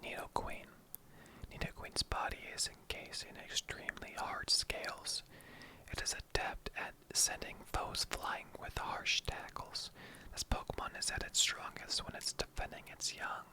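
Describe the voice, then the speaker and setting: An online creator whispers throughout in a breathy, unvoiced ASMR style. The reading is soft and playful, like sharing short encyclopedia entries with chatty asides. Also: measured pace.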